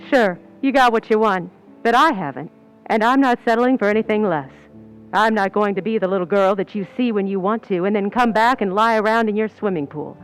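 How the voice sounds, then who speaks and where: A woman's voice: medium pitch, fairly quick, clean tone, faint music behind, close to an everyday voice, rough recording. A woman playing a cool, ambitious, scheming young Hollywood stand-in in an old-time radio suspense play, with hard-boiled, knowing delivery. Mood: guarded, coaxing. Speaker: actress in a community playhouse radio play. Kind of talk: old-time radio suspense acting with first-person narration.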